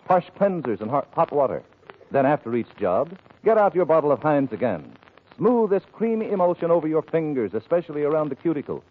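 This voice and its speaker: High, animated vintage radio announcer, male. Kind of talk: vintage radio advertising read. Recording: tinny, band-limited old radio recording.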